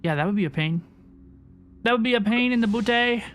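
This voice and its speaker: A woman's voice: fairly high pitch, a little fast. A woman hosting a gaming livestream, chatting casually and often repeating phrases for emphasis. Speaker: livestream host. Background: game music.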